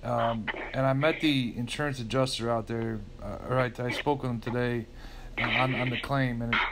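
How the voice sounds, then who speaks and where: Halting man on a very noisy call. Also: medium pitch, fairly even intonation. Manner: halting, repeats words and restarts often. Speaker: public insurance adjuster. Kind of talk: questioning on a recorded phone call. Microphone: telephone call recording. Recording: very noisy recorded phone call, clicks.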